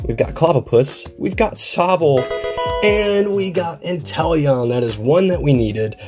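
A man's voice: on the high side, animated, unhurried, over music. Congested young man hosting a card vlog. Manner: rambling and upbeat, with frequent ums and uhs. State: congested with a head cold. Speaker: card collecting channel host. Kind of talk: casual pack-opening vlog. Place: indoor room at a desk.

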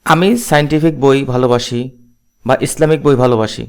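Quick, clear-voiced man. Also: medium pitch. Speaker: demo presenter asking questions. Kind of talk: casual spoken demo, questioning.

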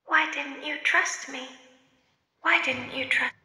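An actress playing a wounded, menacing animatronic machine in a horror game, pleading slowly and repetitively. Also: female voice, high voice, fairly even intonation, bright, forceful tone, exaggerated voice. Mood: hurt, pleading. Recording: echoing reverberant game audio replayed.

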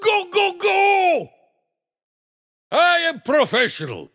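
A man voicing a big, blustery alien mad scientist in a racing video game, with a Russian accent and boastful, theatrical exclamations in a gravelly rasp. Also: extremely high pitch, huge pitch swings, takes it slowly, fairly bright tone, shouting, exaggerated voice. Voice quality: gravelly, raspy. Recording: long reverb tail.

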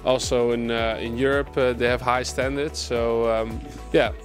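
A man's voice: medium pitch, level tone, measured pace, soft background music. A young man giving a casual interview in hesitant, broken phrases. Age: young adult. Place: indoor locker room.

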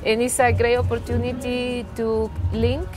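A woman's voice: on the high side, little pitch movement, moderate pace, over music. A middle-aged woman from Honduras with a Spanish accent, answering interview questions in a small studio in a thoughtful, reflective way. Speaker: guest singer and cultural promoter.

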